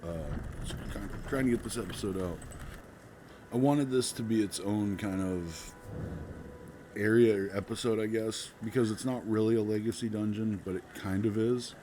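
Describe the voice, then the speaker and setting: Low-voiced sick man narrating gameplay. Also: moderate pace. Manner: laid-back, rambling, many ums. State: sick, voice a bit off. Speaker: gaming channel host. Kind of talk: casual gameplay walkthrough commentary. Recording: loud background noise.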